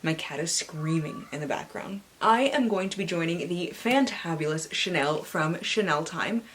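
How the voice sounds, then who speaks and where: A woman's voice: fairly low pitch, animated, normal speed, fairly bright tone. A North American woman hosting a book vlog in a playful, theatrical manner, mixing casual chat with dramatic readings and asides. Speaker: book channel host. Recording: clearly audible background hiss.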